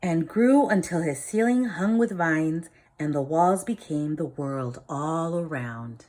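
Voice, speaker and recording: A woman reading a picture book aloud, expressive, dropping low and soft for dramatic lines. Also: takes it slowly. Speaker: storybook reader.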